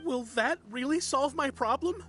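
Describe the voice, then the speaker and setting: A woman's voice: high-pitched, moderate pace, a bit bright. A woman playing an anxious, polite, superstitious client in a video game, earnest and easily flustered. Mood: anxious, hopeful. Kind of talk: video game character acting. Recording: music behind the voice.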